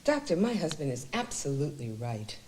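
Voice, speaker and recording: A woman's voice: low-pitched, big pitch swings, fairly quick, a little mellow, a little hiss or hum. An actress playing an indignant, sarcastic wife standing by her husband in a comedy film.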